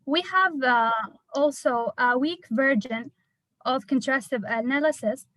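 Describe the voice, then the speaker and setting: High-voiced young woman. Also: a little slow, fairly bright tone, dry recording, low-quality recording. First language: Arabic. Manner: careful, explanatory, slightly hesitant. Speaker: student. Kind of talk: class presentation.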